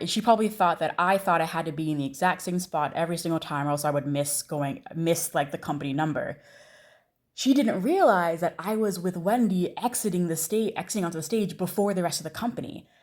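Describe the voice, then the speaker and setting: Young American woman with a lowish voice. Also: lively intonation, a little fast. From United States. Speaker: storyteller, video creator. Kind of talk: casual storytime monologue.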